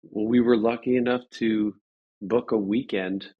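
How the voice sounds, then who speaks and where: Male interview guest, lowish voice, conversational, halting and agreeable storyteller. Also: steady tone, slightly rough, lo-fi sound. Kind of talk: casual anecdote in an interview.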